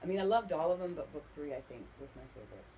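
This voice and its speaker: A woman with a low, mellow voice answers an interviewer conversationally. She explains things earnestly, with faint noise in the background. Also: very animated, rapid-fire, poor audio. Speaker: author and bookseller being interviewed. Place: inside a bookstore.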